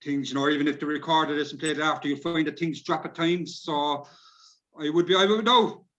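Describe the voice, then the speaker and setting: A man's voice: a little high, steady tone, brisk, fairly bright tone. An Irish man giving casual practical advice in an online discussion, on dull lo-fi call audio. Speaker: workshop speaker advising on recording.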